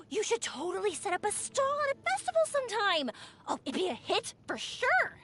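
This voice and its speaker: An actress voicing a cheerful, excitable young woman who makes fireworks in a video game, playful and expressive. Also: very high voice, normal speed, heavily acted. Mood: bubbly, chatty. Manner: bubbly, playful, expressive storytelling. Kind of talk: video game character voice acting.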